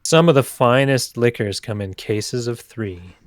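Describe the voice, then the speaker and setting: A married man co-hosting a casual beer show in a relaxed, chuckling way. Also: medium pitch, a little slow, no room sound. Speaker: podcast co-host. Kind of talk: casual chat.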